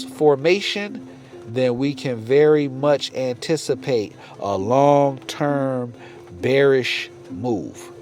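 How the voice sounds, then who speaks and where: A man with a medium-pitched voice presents an instructional lesson as the host. He moves between reading aloud and informal commentary, leaning on key phrases and repeating them. He addresses the viewer directly, with music playing behind him. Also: a little mellow. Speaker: trading educator, channel host.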